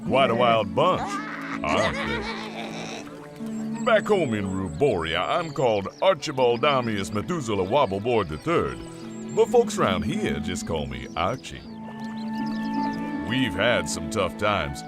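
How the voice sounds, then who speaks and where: Folksy weary village mayor for a game, slow and really swoopy, music behind. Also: male voice, lowish voice, wildly swooping pitch, full character voice. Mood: genial. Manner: folksy, rambling. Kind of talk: comic game character dialogue.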